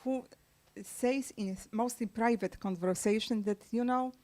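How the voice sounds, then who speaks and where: Halting non-native woman author. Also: on the high side, darkish tone. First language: Serbian. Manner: halting, many fillers and restarts, non-native grammar. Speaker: author guest. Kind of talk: conversational book-launch interview. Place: press club event room.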